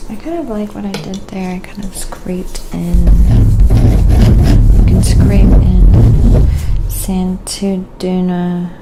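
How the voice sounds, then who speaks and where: A soft-spoken woman chatting quietly and casually while painting on an ASMR live stream, often murmuring to herself. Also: lowish voice, steady tone, slow, over loud music, rough recording.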